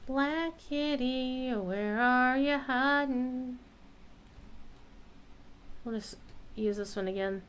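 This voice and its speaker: A woman hosts a casual gameplay stream. Her voice is bright and crisp, and she takes her time, talking half to herself and half to the people watching. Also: high voice, extremely slow, long pauses. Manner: talks to herself and viewers. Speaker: game streamer. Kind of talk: casual live gameplay chat.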